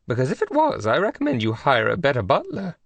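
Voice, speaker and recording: A man reading an audiobook voices a haughty elderly English queen, prim and slow-spoken. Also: mid-range voice, huge pitch swings, vocal fry, no room sound, voice pushed up, exaggerated voice. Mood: imperious.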